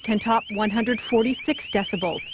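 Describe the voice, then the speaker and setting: A woman's voice: fairly high pitch, moderate pace. A woman giving a broadcast news report as a TV reporter. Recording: clear background street and vehicle noise.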